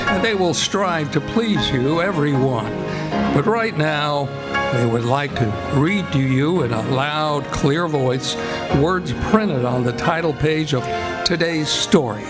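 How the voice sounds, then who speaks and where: Theatrical male radio announcer over music. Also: on the high side. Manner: measured, theatrical presentational delivery. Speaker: announcer and narrator. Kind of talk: old-time radio theatre narration. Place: live stage before an audience. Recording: music behind the voice, live stage performance.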